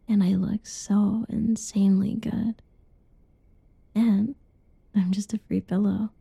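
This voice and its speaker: Young woman, soft teasing ASMR whisper, slow, gravelly fry, dry close mic. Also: medium pitch, no room sound. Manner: playful giggles between lines. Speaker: roleplay narrator. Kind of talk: ASMR roleplay.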